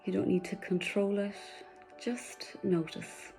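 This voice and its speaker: A woman leading a guided meditation in a soft, soothing voice, slipping at times into breathy whispers. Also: mid-range voice, steady tone, takes it slowly, soft background music.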